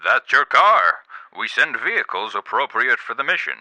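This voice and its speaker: A man playing a pompous, stern president with dry, deadpan insults in a comedy radio play. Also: mid-range voice, normal speed, dry recording, rough recording. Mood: brisk, smug. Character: pompous, stern president giving a secret mission, dryly insulting.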